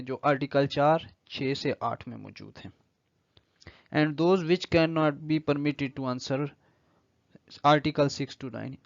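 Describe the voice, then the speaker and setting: A man with a Pakistani accent giving an explanatory law lecture, deliberately reading legal text, then repeating and rephrasing each point. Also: fairly high pitch. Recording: echoey room, dull lo-fi audio.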